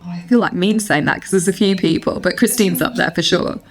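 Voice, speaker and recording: A woman's voice: on the low side, fairly quick. A woman guest on a podcast, chatting casually in an informal, slangy way. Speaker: podcast guest, reality show contestant. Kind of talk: casual chat.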